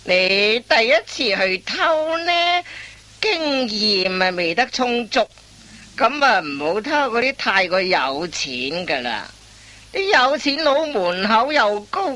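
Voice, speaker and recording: Slow, swooping veteran master thief. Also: female voice, mid-range voice, pushed and bright, full character voice. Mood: coaxing, lecturing. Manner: instructive, drawn-out phrasing full of interjections. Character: wily veteran master thief schooling an apprentice. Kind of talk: Cantonese comic radio play acting.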